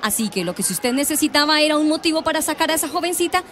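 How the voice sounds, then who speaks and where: An actress playing a stern, bossy parents' association president with a Colombian accent, scornful and confrontational. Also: female voice, sits high, lively intonation, racing, a bit bright. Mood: defiant. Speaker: radio drama actress. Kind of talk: radio drama acting.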